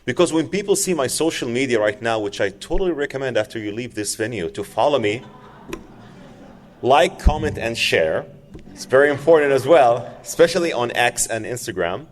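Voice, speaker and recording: A man's voice: medium pitch, lively intonation, moderate pace, in a large hall. A man, telling his personal story to an audience in an earnest, emphatic way, repeating words for stress. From Saudi Arabia. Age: 40s. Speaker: public speaker.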